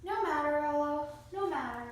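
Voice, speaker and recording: A woman's voice: sits high, expressive, normal speed. A woman acting an elderly, passionate piano virtuoso and teacher in readers theatre, with an effusive, theatrical manner. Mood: pleased. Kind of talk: amateur readers theatre performance. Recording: echoey room, distant mic, faint noise.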